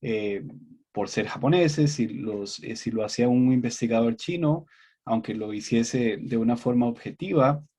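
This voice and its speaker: A Costa Rican man, a guest researcher, answering questions in a measured academic way with many pauses and fillers. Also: mid-range voice, dark tone. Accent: Costa Rican Spanish. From Costa Rica.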